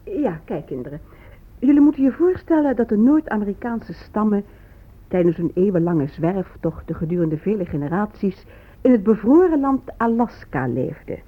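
A woman's voice: medium pitch, sing-song, normal speed. A woman playing a condescending schoolteacher lecturing children in a didactic manner in a radio play. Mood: patronising. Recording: muffled, narrow radio play recording.

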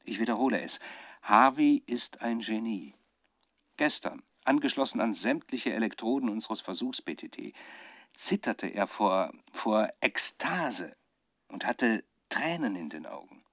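A man's voice: fairly low pitch, expressive, a bit bright, no echo, studio quality. An actor playing a male scientist who keeps a troubled, fervent spoken research diary in a radio drama, often searching for words. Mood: elated, awed. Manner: halting, searching for words, dramatic shifts from musing to fervour. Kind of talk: radio drama monologue as dictated audio diary.

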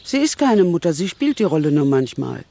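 An older actress playing a blunt, disapproving elderly English spinster aunt in a radio play, brusque and scornful. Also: female voice, sits low, big pitch swings, a little fast, creaky, warm tone, exaggerated voice.

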